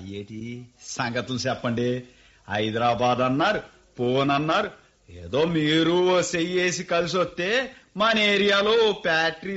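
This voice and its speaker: A man's voice: medium pitch, a bit bright. An actor playing a shrewd, jovial rustic rich man who laughs and talks in a rural dialect, in a radio play. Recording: dull lo-fi radio recording.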